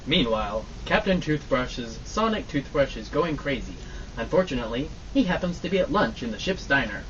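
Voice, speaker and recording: Animated male radio-play narrator, distant, muffled. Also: mid-range voice, moderate pace. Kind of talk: scripted narration, old-time radio drama parody. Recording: distant, muffled, noisy live amateur recording.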